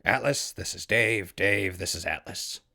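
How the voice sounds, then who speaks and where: Male actor as wasteland radio survivor: low, slightly gravelly, casual, dryly sarcastic, naturalistic. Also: a little slow, pushed and bright, heavily acted. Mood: weary, matter-of-fact. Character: wry, weary male wasteland survivor running a radio station. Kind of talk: naturalistic audio drama acting.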